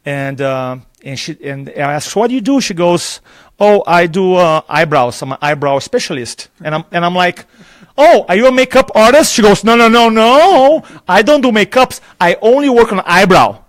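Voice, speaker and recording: Brazilian man, native Portuguese speaker, energetic conference interview guest, a slightly raised voice, dry close mic. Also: sits high, normal speed, no room sound. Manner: animated storyteller, acts out dialogue, laughs often. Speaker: public speaking trainer, guest. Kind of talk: enthusiastic live interview chat. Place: conference venue.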